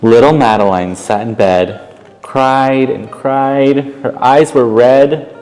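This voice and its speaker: A man reading a children's picture book aloud as a volunteer, expressively, with pauses and casual asides. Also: mid-range voice, big-room sound, faint music behind, low-quality recording. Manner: expressive, pausing, commenting on the pictures. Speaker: volunteer storytime reader.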